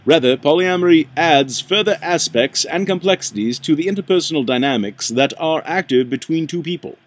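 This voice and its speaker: A man reading a book aloud in a halting way, stumbling and rereading lines, with a put-on accent. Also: a little high, lively intonation. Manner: puts on an accent while reading. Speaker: amateur reader.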